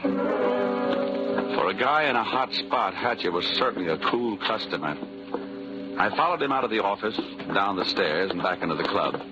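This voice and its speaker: An actor playing a cynical, smooth-talking insurance investigator with an American accent in a wry, deadpan 1940s radio drama style. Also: male voice, on the low side, moderate pace, long pauses, poor audio. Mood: wry narration. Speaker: lead actor and narrator. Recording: vintage 1940s radio recording, music behind.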